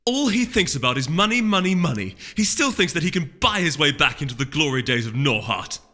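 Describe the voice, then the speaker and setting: An imperious noble mare duchess, acted out by a male reader, haughty and exasperated. The voice is pushed high and bright, with emphatic storybook delivery in long reverb. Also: very animated, brisk. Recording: long reverb, music underneath.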